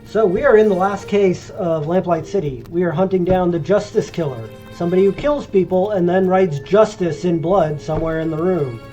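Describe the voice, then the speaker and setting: A man with a congested, phlegmy voice, talking through a video game playthrough in a chatty, joking way. His voice sits a little high, and faint game music runs behind him. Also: moderate pace, hardly any pauses, dark tone, highs cut off. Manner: chatty and joking, rambling recaps. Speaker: let's play streamer. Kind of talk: casual gameplay commentary.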